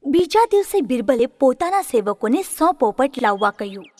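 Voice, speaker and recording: A woman narrating a children's story in an expressive way. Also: high-pitched, normal speed, no echo, soft background music.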